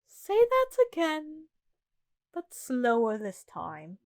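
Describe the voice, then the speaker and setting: A woman's voice: high-pitched, expressive, moderate pace, a bit bright. A woman voicing a male Queen of Hearts, a doting, commanding royal with an affectionate, regal manner, in a storybook reading. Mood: stern.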